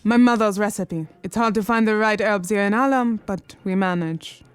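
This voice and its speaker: A woman's voice: mid-range voice, moderate pace, clear, resonant tone, soft background music, close to an everyday voice. An actress playing a commanding, cunning female crime boss of the 1920s in an audio drama, imperious and coolly menacing. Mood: composed, businesslike. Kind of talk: period audio drama acting.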